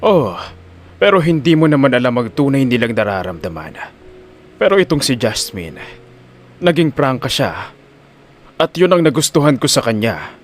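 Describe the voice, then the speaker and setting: A man's voice: medium pitch, big pitch swings, normal speed, long pauses. A man playing a wealthy, plain-spoken old man in an audio drama, resigned and matter-of-fact, with a Filipino accent. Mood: resigned, calm.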